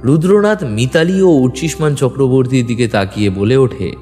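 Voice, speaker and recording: A man's voice: medium pitch, moderate pace, warm tone. A man reading scripted dramatic narration as the storyteller of an audio drama. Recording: long reverb, music underneath. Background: background music.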